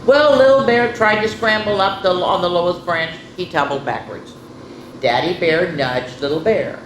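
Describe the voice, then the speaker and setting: A woman's voice: on the low side, moderate pace. A woman hosting children's story time, reading picture books expressively and talking directly to young listeners with casual asides. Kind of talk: picture-book read-aloud with casual asides. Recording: roomy echo, faint background noise.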